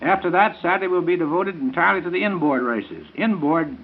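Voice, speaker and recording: High-pitched American man on tinny old radio. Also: lively intonation, brisk. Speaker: radio guest promoting a sporting event. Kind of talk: scripted 1930s radio announcement. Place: radio studio. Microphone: vintage studio broadcast microphone. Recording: tinny, faintly noisy 1930s radio broadcast.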